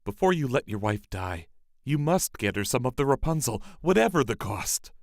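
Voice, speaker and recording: A man's voice: medium pitch, sing-song, normal speed, no room sound, studio quality. A man voicing a devoted, frightened husband in a fairy tale storybook reading, earnest and pleading. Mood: resolved.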